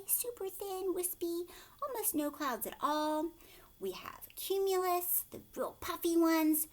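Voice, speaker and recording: A woman in her thirties reading aloud and explaining to young children in an expressive way, asking simple questions, recorded at home on a webcam. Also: medium pitch. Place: living room at home, indoors. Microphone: webcam or laptop at arm's length.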